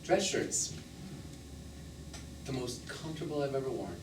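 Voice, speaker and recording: A man's voice: mid-range voice, expressive, a little slow, natural voice. Man acting a defensive middle-aged boyfriend, swooping intonation, terse, stage drama, distant noisy recording. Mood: guarded. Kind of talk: one-act stage play acting. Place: small theatre, live performance. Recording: roomy, noisy theatre recording from afar.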